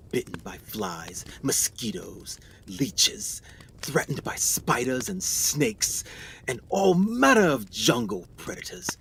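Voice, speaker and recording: Man playing a slow, theatrical 1920s explorer. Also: medium pitch, vocal fry, fairly bright tone, a little hiss or hum, full character voice. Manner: deliberate, theatrical, formal. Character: measured 1920s explorer telling his jungle tale. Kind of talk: dramatic radio play narration and dialogue.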